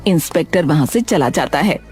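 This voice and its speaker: A woman narrating a children's cartoon story in a steady, descriptive way. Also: sits low, fairly quick, faint music behind.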